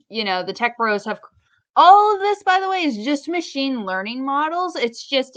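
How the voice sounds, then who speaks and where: A woman's voice: fairly high pitch, a bit bright, dry recording. Woman podcasting casually, very dry sound. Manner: informal explaining. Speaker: podcast co-host. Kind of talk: casual conversational podcast chat.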